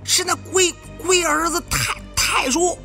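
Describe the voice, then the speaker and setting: A man voicing an excitable, blunt tomb raider who stutters in an audiobook. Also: very high voice, unhurried, faint music behind, pitched above the performer's own voice, exaggerated voice. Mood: shocked. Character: excitable stammering male tomb-raiding companion, crude and blunt.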